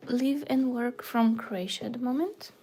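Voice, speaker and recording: A woman's voice: a little high, normal speed, dark tone, husky. A woman from Bulgaria, designing a website on a livestream and murmuring her thoughts aloud, often close to a whisper. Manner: murmuring half to herself, often dropping to a whisper. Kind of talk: casual livestream, thinking aloud while working.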